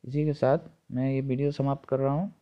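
A man's voice: medium pitch, little pitch movement, brisk, smooth, muffled recording. A man narrating an informal step-by-step tutorial, instructing and repeating each step, recorded on a phone. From India. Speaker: tutorial presenter.

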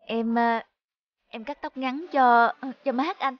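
A woman playing a gentle, self-sacrificing eldest sister in a cartoon. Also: on the high side, steady tone, takes it slowly, muffled recording. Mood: hesitant, pleading. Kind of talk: cartoon dialogue.

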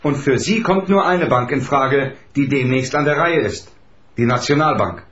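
An actor playing a businesslike, level-headed racing team member, explaining things in a measured way in a radio play. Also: male voice, medium pitch, fairly bright tone, lightly acted. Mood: serious, explaining. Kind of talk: German radio play acting. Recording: echoey, slightly dull room.

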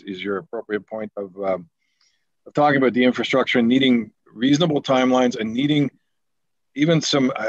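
A man's voice: on the low side, no room sound. Earnest Canadian man on a video call. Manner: rambling, repeats words, earnest. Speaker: deputy mayor at a council meeting. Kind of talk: council meeting remarks. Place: home office on a video call. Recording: slightly dull, dry video call audio.

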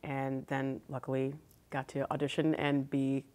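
A woman in her thirties from Florida speaks in a low, friendly, matter-of-fact voice. She is a guest on a studio talk show and is recorded on a lapel mic. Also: completely flat delivery, a little slow, a little mellow. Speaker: guest horn player. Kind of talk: casual interview. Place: TV studio set.

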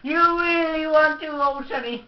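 A man's voice: very high-pitched, steady tone, unhurried, distant mic, pitched above the performer's own voice. A man voicing a feisty, cranky old grandpa who boasts and fusses, in a comedy skit, recorded lo-fi in a roomy space away from the mic with noise. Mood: taunting. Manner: creaky old-man voice, boastful taunts, fussing.

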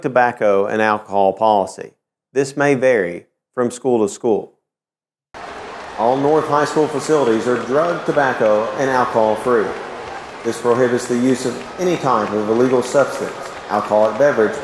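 A man in his forties teaching public address announcing, reading sample announcements into a handheld microphone in a measured, clear delivery. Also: mid-range voice, reverberant, in a large hall, noisy background. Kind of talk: instructional talk with sample PA announcements. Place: empty school gymnasium and green-screen crowd set.

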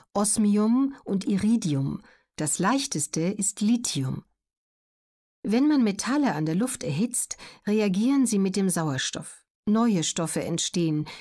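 Measured woman narrating an audiobook. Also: on the low side, a little mellow. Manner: measured, clearly articulated, factual. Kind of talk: scripted educational narration.

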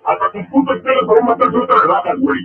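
A man's voice: very high voice, animated, rapid-fire. A Mexican man making a threatening, profane phone call in a domineering, aggressive way, his voice run through a voice changer. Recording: muffled, echoey phone call recording.

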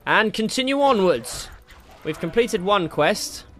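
Bright-voiced man commentating on a video game. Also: sits high, animated, unhurried. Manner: jokey, slangy, prone to exclaiming and swearing. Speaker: gaming video host. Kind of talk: casual, excitable game playthrough commentary. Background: game music.

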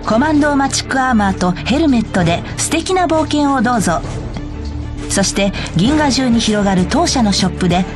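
A woman's voice: on the high side, expressive, brisk, over music, close to an everyday voice. A woman voicing a polite, cheerful corporate guide, reading scripted tutorial narration for a game. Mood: polite, bright. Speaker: tutorial announcer.

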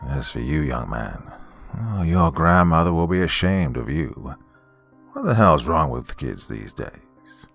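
A man voicing a burly, stern steelworker father in a booming, gruff voice, as in a told story. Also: very animated, a little slow, a bit bright, exaggerated voice. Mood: scolding. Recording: music behind the voice.

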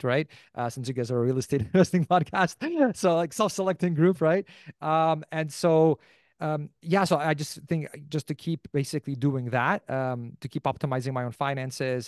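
Man, Canadian accent, very quick pace, rambling casual interview talk, close dry desk-mic sound. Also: medium pitch, a little mellow, no room sound. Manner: rambling, peppered with "like" and "you know". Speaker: podcast host as interview guest. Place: small indoor room, video call. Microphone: desk microphone close in front.

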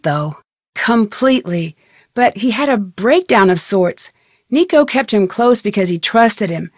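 A woman's voice: fairly high pitch, dry recording, clean studio sound. A woman playing an inquisitive, level-headed young detective in an adventure game, speaking in an earnest, conversational, matter-of-fact way.

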